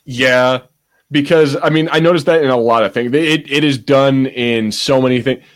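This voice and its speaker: A man's voice: medium pitch, moderate pace. A man co-hosting a podcast, chatting casually about pop culture in a rambling way, swearing freely. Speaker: podcast co-host.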